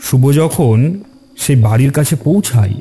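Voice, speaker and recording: A man's voice: mid-range voice, expressive, moderate pace, warm tone. A man narrating a scripted ghost story in a dramatic storytelling style. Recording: clear music bed under narration. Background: music.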